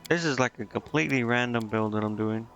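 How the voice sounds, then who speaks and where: Gravelly man muttering over game music. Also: medium pitch, fast, a bit bright, highs cut off. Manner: indecisive, muttering to self. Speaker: game streamer. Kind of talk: casual live gameplay commentary, thinking aloud.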